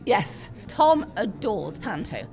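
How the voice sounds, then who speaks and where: A woman's voice: mid-range voice, big pitch swings, slow pace, darkish tone, soft background music. A middle-aged British woman talking as a podcast interview guest, emphatic and conversational, repeating words for stress. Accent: British English. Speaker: interview guest, pantomime enthusiast and parent. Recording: busy crowd chatter behind. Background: chatter of people at a venue.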